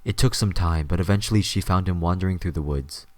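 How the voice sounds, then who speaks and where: A man's voice: deep voice, fast, no echo. A man reading scripted story narration as the narrator of an audio drama.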